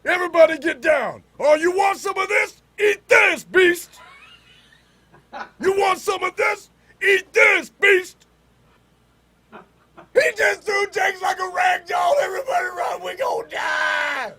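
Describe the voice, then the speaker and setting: A man voicing a brash fighting man shouting at a monster in an audio drama, recorded over a noisy internet call. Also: extremely high pitch, measured pace, pauses often, heavily acted. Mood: panicked defiance.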